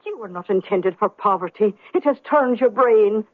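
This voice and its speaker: A woman's voice: medium pitch, expressive, moderate pace, natural voice. An actress playing a long-suffering, devoted, fretful wife and mother in an old-time radio drama. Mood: resigned, tender. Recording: tinny old radio recording.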